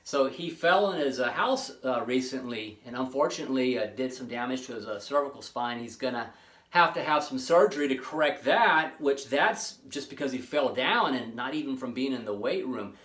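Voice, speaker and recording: A man in his late forties hosting his own fitness channel, talking casually and rambling with many pauses and restarts. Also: medium pitch, fairly bright tone, reverberant. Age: 40s. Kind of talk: casual monologue to camera.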